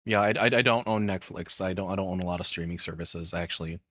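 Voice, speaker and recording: Male reaction streamer, bright forceful voice, very dry close-mic sound, casual, blunt, foul-mouthed delivery. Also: deep voice, fast, no room sound. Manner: sweary, blunt.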